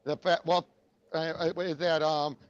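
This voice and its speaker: Guy with a raspy gravelly high voice, kinda muffled lo-fi audio. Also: level tone, measured pace, no echo. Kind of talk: casual chat.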